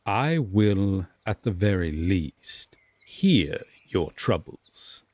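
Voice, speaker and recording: A brooding Fae lord, acted by a man in a roleplay audio drama. His voice sits on the low side and swings expressively through very slow, formal phrases. He is guarded and courteous, with reluctant kindness and long dramatic pauses. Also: sing-song, darkish tone, exaggerated voice. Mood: relenting, sympathetic.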